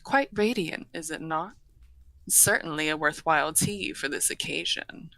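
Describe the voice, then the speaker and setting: A woman playing a courteous, earnest half-dragon broker in a fantasy audio roleplay, speaking formally and with measured eloquence. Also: deep voice, gravelly, sharp tone, no echo, heavily acted, poor audio. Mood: earnest, grave. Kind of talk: scripted fantasy audio roleplay, addressing the listener.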